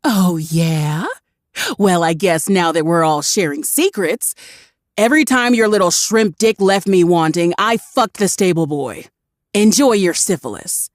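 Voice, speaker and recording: A woman's voice: on the low side, animated, measured pace, dry recording, studio quality. A woman doing a shrieking, furious betrayed wife. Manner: shouting, sharp and scornful. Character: furious betrayed woman screaming at her cheating husband.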